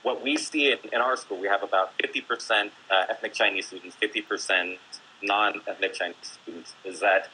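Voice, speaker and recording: A man's voice: on the low side, fairly even intonation, a little fast, bright, forceful tone, rough recording. Man, teacher, panel guest, earnest, faint background noise. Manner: earnest, explanatory, with many fillers. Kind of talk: discussion panel talk.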